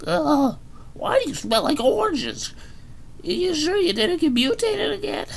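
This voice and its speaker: A man voicing a naive, timid cartoon schoolboy in a squeaky, childlike, eager falsetto for a story reading. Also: sing-song, unhurried, creaky, full character voice. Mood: chirpy, naive.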